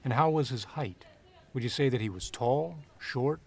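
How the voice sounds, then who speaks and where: An actor playing a cool, curt private investigator who talks and narrates in a businesslike 1940s radio noir style. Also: male voice, medium pitch, moderate pace, slightly rough. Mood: probing, unruffled. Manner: clipped, businesslike, unruffled.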